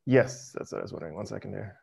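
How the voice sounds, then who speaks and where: Gravelly American man presenting on a call. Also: on the low side, very fast. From United States. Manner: relaxed, many ums, friendly. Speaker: presenter at a webinar. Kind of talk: casual webinar presentation. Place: home office, video call. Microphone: headset.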